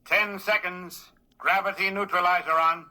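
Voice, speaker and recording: Piercing, high-voiced launch controller. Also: male voice, fairly even intonation, normal speed, bright, forceful tone. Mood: urgent, procedural. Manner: clipped, procedural calls. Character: crisp male spaceship traffic controller calling countdown. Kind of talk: 1950s radio drama acting.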